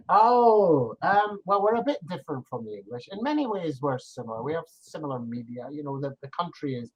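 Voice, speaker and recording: A man's voice: fairly high pitch, big pitch swings, normal speed, husky, lo-fi sound. An older man with a Scottish accent, chatting as an online English tutor, explaining with examples and laughing easily. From Scotland. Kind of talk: casual conversation lesson.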